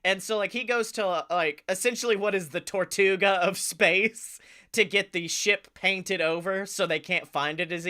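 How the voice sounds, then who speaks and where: A male comedy podcast co-host with a high, forceful voice. He talks casually and swears freely as he pitches a story in a jokey, animated way.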